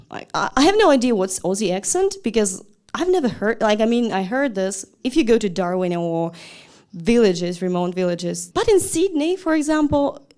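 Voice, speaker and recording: A young woman, a language teacher giving a lively, chatty talk to an audience. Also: a little high. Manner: lively and chatty, with small laughs and restarts.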